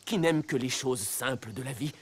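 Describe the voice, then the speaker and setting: A man playing a timid, doting middle-aged father in an animated fairy tale, with a pleading, nervous manner. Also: mid-range voice, normal speed, breathy edge. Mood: frightened, pleading. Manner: pleading, stammering when nervous. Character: timid, anxious, doting father of grown daughters. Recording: loud music behind the voice.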